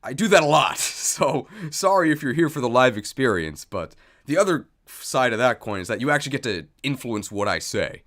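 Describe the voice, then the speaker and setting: A man's voice: mid-range voice, very animated, moderate pace, fairly bright tone. A man hosting a livestream, chatting casually and thinking aloud in a jokey, self-deprecating way. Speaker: streamer, video host. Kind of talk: casual livestream chat while setting up.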